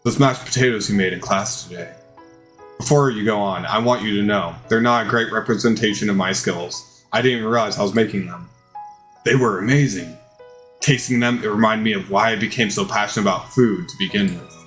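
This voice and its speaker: A passionate chef heartthrob, acted by a man from a dating game speaks in a lowered, gentle voice. He is sincere and dramatic, as if every word matters, over background music. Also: brisk, natural voice. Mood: tender, earnest. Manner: soft-spoken, sincere, dramatic.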